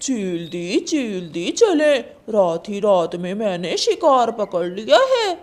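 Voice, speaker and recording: A woman's voice: medium pitch, sing-song, slow pace, a little mellow, voice pushed down. A woman voicing a deceitful, boastful male crow in a cartoon, with a sly, gloating manner.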